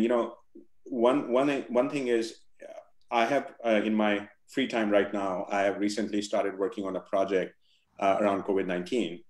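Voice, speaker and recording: Guest man from India, forties, low voice, roomy echo, halting and thoughtful. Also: darkish tone. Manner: thoughtful, many fillers and restarts. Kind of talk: video call interview. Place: home room with virtual backdrop. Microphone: video call.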